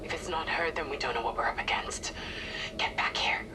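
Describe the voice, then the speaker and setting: An actress playing an anxious, protective spaceship crew member in a sci-fi horror game, with noisy ship ambience behind. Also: female voice, deep voice, a little fast, in a whisper, close to an everyday voice. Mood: alarmed. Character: anxious, protective woman crew member directing colleagues from control.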